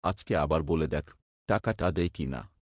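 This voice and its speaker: A man's voice: a bass voice, moderate pace, a little mellow, no room sound, clean studio sound. A man playing a concerned, grumbling poor village labourer in a cartoon, familiar and plain-spoken.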